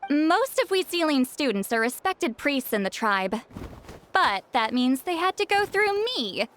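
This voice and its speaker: A woman's voice: sits high, big pitch swings, a little slow, fairly bright tone, a little hiss or hum, heavily acted. A woman playing a proud, grumpy, easily flustered great shaman in an anime game, with a haughty, huffy manner. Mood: smug, musing. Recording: background music under the voice.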